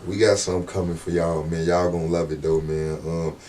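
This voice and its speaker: A man with a deep voice, a rapper being interviewed, talking in a laid-back, slangy way and often asking "you know what I'm saying". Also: flat delivery, brisk, mellow, distant mic, a little hiss or hum. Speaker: rapper guest. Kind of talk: casual interview talk.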